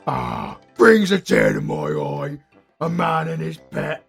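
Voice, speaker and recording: A man's voice: fairly high pitch, wildly swooping pitch, takes it slowly, creaky, faint music behind, heavily acted. A British man playing a retired supervillain husband in a romantic comedy roleplay, smug, doting and flirty, with theatrical teasing. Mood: doting, cooing. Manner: theatrical, teasing, cooing endearments.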